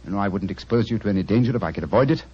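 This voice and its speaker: An actor playing a brisk, masterful gentleman detective with a British accent and clipped, commanding delivery in a 1940s radio drama. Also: on the low side, expressive, slightly rough. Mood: urgent, commanding. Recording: dull, muffled vintage radio recording.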